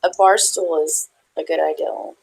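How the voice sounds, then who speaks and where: Gravelly woman chiming in on a chat. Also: low-pitched, very animated, measured pace, darkish tone, rough recording. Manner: supportive, chiming in with short replies. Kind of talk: casual live chat.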